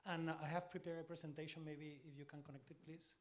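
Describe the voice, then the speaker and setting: A man with a Spanish accent presents at a conference, his voice sitting a little high. He speaks briskly and with energy, dotting his talk with "uh". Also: level tone. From Spain. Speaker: panel speaker, tech specialist.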